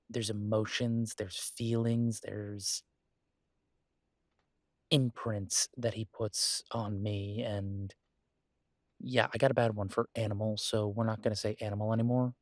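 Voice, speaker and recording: Low, slow-spoken, hesitant werewolf pack leader played by a man. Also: no echo, clean studio sound. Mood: wry, musing. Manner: hesitant, reflective, halting with pauses. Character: Caleb, conflicted male werewolf pack leader, earnest, wry, protective. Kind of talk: intimate audio roleplay, natural hesitant monologue.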